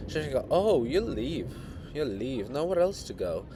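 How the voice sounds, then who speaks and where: A man's voice: mid-range voice, big pitch swings, a little slow, background noise. A man voicing a sly, grandiloquent poet monument, teasing and persuasive, with emphatic theatrical delivery in a dramatic reading. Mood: urgent, fervent.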